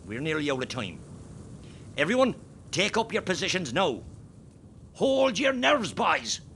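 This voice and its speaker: An Irish rebel commander acted by a man in a radio war play. He is steady and rousing, with very animated, fairly high pitch as he gives orders. There is a faint rumble behind. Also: unhurried, heavily acted. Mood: rallying. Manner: commanding, swinging from quiet briefing to rallying. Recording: faint rumbling background noise.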